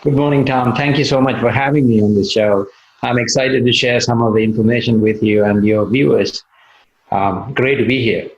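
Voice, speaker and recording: Sri Lankan-born doctor, muffled call audio. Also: male voice, mid-range voice, fairly even intonation. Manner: measured, many "uh" pauses. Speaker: cardiologist guest. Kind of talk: interview answers.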